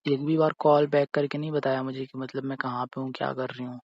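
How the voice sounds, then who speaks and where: A man acts out a young boyfriend in a phone-call drama, hurt and jealous. His delivery is flat and narrow in pitch, sulky and repetitive, pressing each accusation with little tag questions. The audio is dull and lo-fi. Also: fast talker, no room sound, lightly acted. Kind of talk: acted phone-call drama in casual conversational speech.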